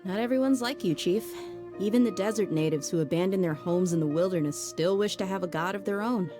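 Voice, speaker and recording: An actress playing a calm, matter-of-fact informant giving news in a game cutscene. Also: female voice, mid-range voice, brisk, over music, close to an everyday voice. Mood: informative.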